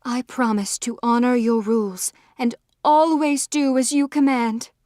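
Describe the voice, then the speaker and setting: A woman acts out a fairy-tale young bride with a high voice. She is sweet, earnest and obedient, speaking gently and formally in a very dry, close recording. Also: fairly even intonation, measured pace, no room sound, close to an everyday voice. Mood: gentle, submissive. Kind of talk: storybook audiobook character acting.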